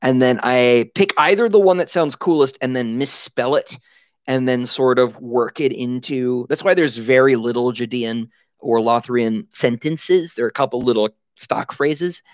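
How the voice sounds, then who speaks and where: A man in his thirties answering viewer questions in a casual livestream from a small room at home, on a headset mic, animated and full of fillers and restarts. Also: medium pitch, moderate pace, no echo. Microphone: headset boom mic.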